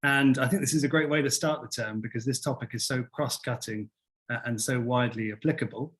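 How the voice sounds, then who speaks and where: A young man hosting an online academic seminar over a video call, relaxed and full of fillers. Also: medium pitch, fairly quick. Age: young adult. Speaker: seminar host.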